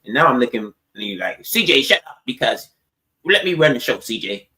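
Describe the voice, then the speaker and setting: Podcast co-host on the defensive. Man, squeaky voice, talks fast and curses a lot. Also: big pitch swings, sharp tone. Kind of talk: heated argument among podcast hosts.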